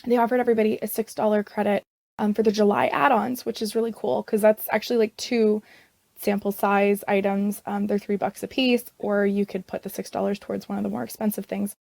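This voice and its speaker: A young woman from Canada with a hoarse, scratchy voice from vocal strain, chatting casually to camera as a beauty vlogger, rambling with lots of fillers. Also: medium pitch, little pitch movement, brisk, a little mellow, no room sound.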